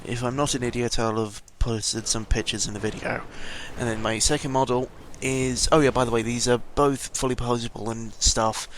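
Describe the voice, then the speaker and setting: Flat-toned British teenage lad, rambling. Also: male voice, mid-range voice. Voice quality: sounds congested or under the weather. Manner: hesitant, tangential, mildly sweary. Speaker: vlogger. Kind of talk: casual rambling audio log. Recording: noisy home microphone recording.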